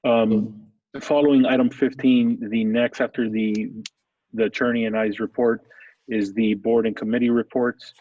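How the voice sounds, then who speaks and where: Flat, mellow-voiced city manager, a man. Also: mid-range voice. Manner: measured, formal, deferential. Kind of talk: procedural explanation in a council meeting. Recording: dull lo-fi audio.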